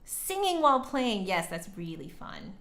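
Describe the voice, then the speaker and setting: A woman hosts a casual livestream chat in a medium-pitched voice. She takes her time, speaking slowly and conversationally like a music teacher. She pauses to think and repeats words for emphasis. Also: big pitch swings, fairly bright tone. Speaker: livestream host, flute teacher. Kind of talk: casual livestream chat, answering viewer comments.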